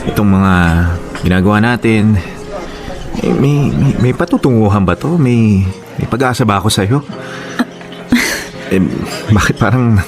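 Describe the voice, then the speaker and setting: A man playing a shy, nervous young suitor in a Tagalog radio drama, speaking hesitantly and gently with stammers. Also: lowish voice, unhurried, long pauses, over music, lightly acted. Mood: hesitant, earnest.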